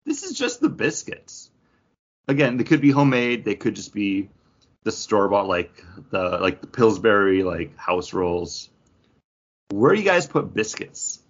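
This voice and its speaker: A man's voice: lowish voice, very animated, measured pace, dull audio. A man hosting a podcast, chatting casually with the group. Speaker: podcast host.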